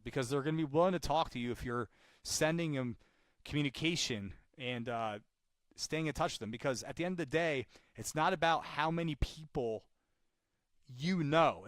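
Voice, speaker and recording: A man's voice: mid-range voice, moderate pace, no echo. A man working as a sales caller and trainer, talking informally and chattily and repeating his points for emphasis during live calls. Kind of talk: live cold-call demonstration with coaching talk.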